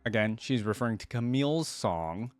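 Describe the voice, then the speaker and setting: A woman with an extremely low voice gives casual reaction commentary on a game as its host. She sounds inquisitive and thinks aloud, in a dry, close recording. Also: expressive, normal speed, no echo. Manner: musing, inquisitive, often checking with "right?".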